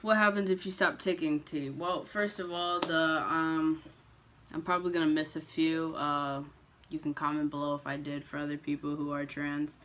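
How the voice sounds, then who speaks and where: A young man chatting casually to camera as a vlogger, relaxed and loose, with frequent ums and restarts. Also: fairly high pitch, moderate pace, a little hiss or hum.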